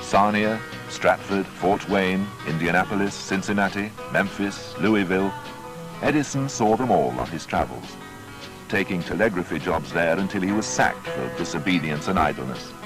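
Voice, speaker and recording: A man with a very low voice narrates a biography in formal, measured prose. His delivery is lively in pitch, shaped like dramatic storytelling, with music faintly underneath.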